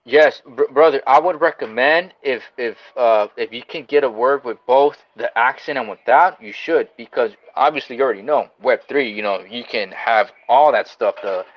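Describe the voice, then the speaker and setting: A man's voice: medium pitch, normal speed, hardly any pauses. A man in a casual online chat, friendly, calling the other speaker "brother" and using many fillers. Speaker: guest speaker. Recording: dull lo-fi call audio with faint noise.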